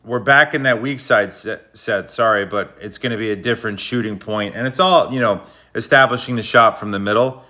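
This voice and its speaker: A man's voice: on the low side, brisk, pushed and bright. A man giving a casual, slangy breakdown of hockey game footage, explaining plays like a coach. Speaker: hockey video analyst.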